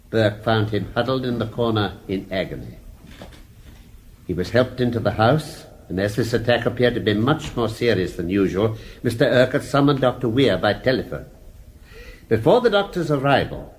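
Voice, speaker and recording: Radio drama judge male actor: British, formal, precise, deliberate, mid-pitched with lively inflection. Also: lightly acted. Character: formal, measured male judge summing up a murder case. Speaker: judge summing up to a jury.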